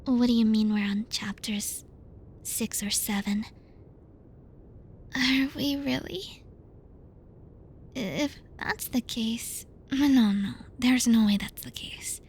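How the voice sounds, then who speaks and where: A woman acting a high-pitched, bratty tsundere schoolgirl. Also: darkish tone, slightly rough, exaggerated voice. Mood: halting, defensive. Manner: halting, pouty, defensive, stumbles over words. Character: bratty tsundere young female student, flustered, teasing and bossy. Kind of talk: ASMR roleplay addressed to the listener.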